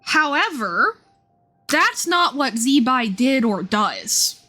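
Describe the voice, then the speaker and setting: Enthusiastic, higher-pitched woman livestreaming. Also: big pitch swings, slow, bright, forceful tone, faint music behind. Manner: enthusiastic, rambling, thinking aloud. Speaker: live streamer. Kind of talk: casual livestream commentary on game lore.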